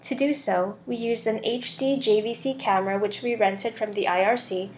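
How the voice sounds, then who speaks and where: A woman's voice: on the high side, steady tone, normal speed, slight background noise. A young woman student reading a scripted voice-over for a slide presentation. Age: young adult.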